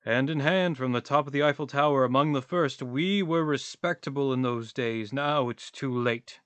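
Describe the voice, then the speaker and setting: A man's voice: mid-range voice, normal speed, fairly bright tone, smooth, no room sound, lightly acted. A man playing a talkative, philosophical vagrant in a radio play, with a wordy, pompous, theatrical delivery. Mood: musing, rueful.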